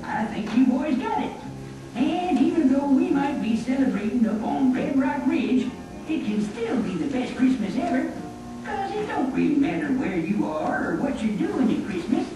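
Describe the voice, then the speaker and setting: A woman's voice: sits high, sing-song, moderate pace. A woman voicing a kindly, folksy cowboy telling a story in a scripted puppet show. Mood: encouraging. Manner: folksy western wording, kindly. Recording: echoey room, distant, music behind.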